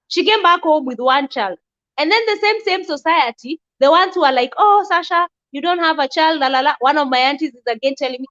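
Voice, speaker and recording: A woman's voice: high-pitched, fairly bright tone, muffled recording. A young woman speaking bluntly and emphatically as a guest in a casual discussion.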